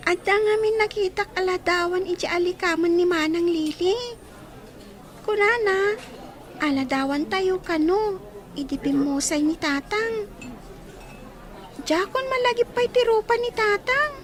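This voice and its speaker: A woman's voice: very high-pitched, lively intonation, a little slow, pauses often. A woman with an Ilocano accent playing an inquisitive little girl in a radio drama. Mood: curious.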